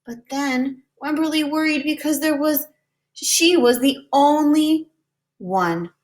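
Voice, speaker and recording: A woman reading a children's picture book aloud in an expressive, deliberate way, like a preschool teacher. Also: high voice. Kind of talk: children's storybook read-aloud.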